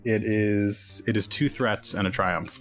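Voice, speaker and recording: Male tabletop gamer, low register, casual table talk, music prominent behind him. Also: fairly even intonation, measured pace, a bit bright. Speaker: tabletop game player. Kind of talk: casual game-table chat.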